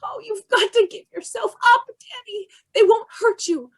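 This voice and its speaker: High-voiced, pleading older mother acted by a woman. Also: normal speed. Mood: desperate pleading. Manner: hesitant, repeating words, imploring. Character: frail, pleading, distraught older mother of a criminal. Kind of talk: old-time radio drama acting.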